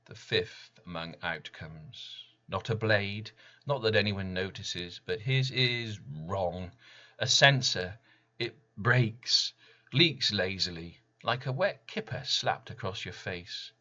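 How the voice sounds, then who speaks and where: A man giving a dramatic reading of his own poem in solemn, deliberate short phrases, with slightly muffled sound. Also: fairly low pitch, animated, pushed and bright. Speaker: poet reading own work. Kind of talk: dramatic poetry recital.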